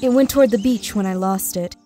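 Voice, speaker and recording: A woman playing a sharp-tongued, scheming thief in an audio drama. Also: mid-range voice, a little fast, faint music behind, lightly acted.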